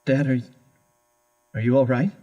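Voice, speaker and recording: An actor playing a frightened, obedient young adult son in an audio horror drama, husky, timid and pleading. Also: male voice, mid-range voice, little pitch movement, moderate pace, dark tone, dull audio, natural voice. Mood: timid, guilt-ridden.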